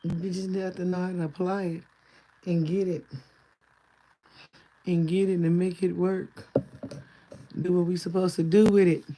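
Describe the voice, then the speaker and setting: A man casually chatting on a livestream in a loose, rambling way, his voice going hoarse. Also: high voice, little pitch movement, measured pace, pauses often, mellow, slight background noise, poor audio. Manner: loose, rambling talk with easy laughs. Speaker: livestream host.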